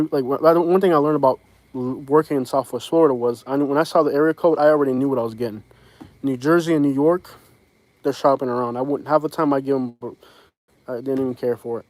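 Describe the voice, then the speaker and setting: A man's voice: mid-range voice, darkish tone, no room sound, low-quality recording. A man with a Southern US accent chatting casually on a livestream, rambling and frank, with many fillers and restarts. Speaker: vlogger. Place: home in Alabama.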